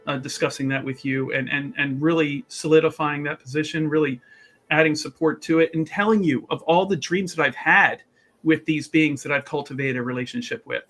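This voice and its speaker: A man playing an eager, halting scholar, bright-voiced. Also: on the high side, voice pushed down. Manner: halting, wordy, stammering, eager. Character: earnest rambling scholar, a man fond of spirits.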